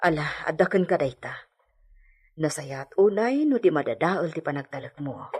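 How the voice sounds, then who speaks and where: A nosy motherly maid, lowish husky voice, really animated, radio drama. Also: moderate pace. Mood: gossipy. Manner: nagging, confiding, fussing. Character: gossipy, motherly female household servant.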